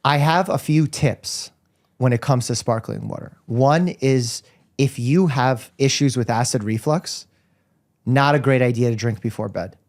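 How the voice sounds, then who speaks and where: A man's voice: medium pitch, measured pace, a little mellow. A man born in Russia, whose first language is Russian, hosting an interview podcast as a family doctor, upbeat and explaining medical points plainly. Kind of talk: casual interview chat.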